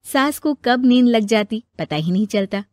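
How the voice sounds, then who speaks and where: A woman's voice: fairly high pitch, expressive, moderate pace, darkish tone, no echo, clean studio sound. A woman narrator reading a scripted moral family story in storytelling style.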